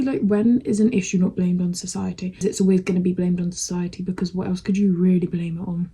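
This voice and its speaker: Husky young British woman, rambling candidly. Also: lowish voice, warm tone. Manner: candid, rambling, swears freely. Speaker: vlogger. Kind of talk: casual to-camera chat.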